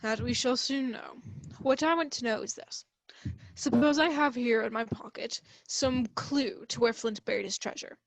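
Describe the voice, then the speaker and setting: Measured, shrewd doctor played by a woman, higher register, dry formal delivery for radio theatre. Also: creaky, no room sound, poor audio. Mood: measured, probing. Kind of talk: radio play acting.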